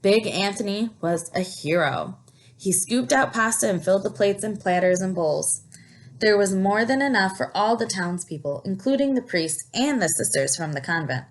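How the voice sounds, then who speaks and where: A woman teacher reads a children's picture book aloud with a medium-pitched voice. Her delivery is expressive and animated, and she pauses to explain words and ask her young listeners questions. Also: slight background noise, rough recording. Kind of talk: expressive read-aloud storytelling.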